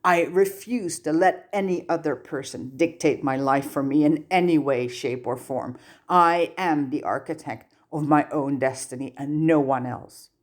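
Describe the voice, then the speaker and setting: A narrator voicing a cold, imperious sixteen-year-old dark wizard boy, snarling and haughty, in an audiobook story. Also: medium pitch, a little slow, lightly acted. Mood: cold fury.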